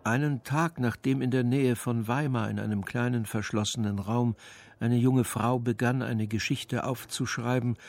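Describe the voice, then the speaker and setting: A man narrating a radio crime play in a measured, matter-of-fact literary style. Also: medium pitch, fairly even intonation, a little mellow, natural voice. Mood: dry, composed. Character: dry, composed narrator of a crime story.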